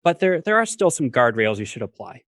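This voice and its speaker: A man with a middle-range voice talks as a guest professor on a video call. He explains things methodically, working through examples in a measured way. The sound is dry, with little room around it. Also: mid-range voice, sing-song, fairly bright tone, dry recording. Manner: measured, reasons step by step with worked examples. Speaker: finance professor, guest expert. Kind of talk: explanatory talk on a livestream.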